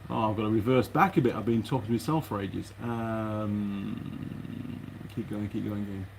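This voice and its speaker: A man's voice: on the low side, fairly even intonation, moderate pace, vocal fry, darkish tone. A man chatting casually as a vlog host and mechanic, muttering and meandering as he goes. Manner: muttering to himself, hesitant, meandering. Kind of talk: casual rambling workshop vlog. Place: workshop. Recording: noisy, clicks and rustling of parts.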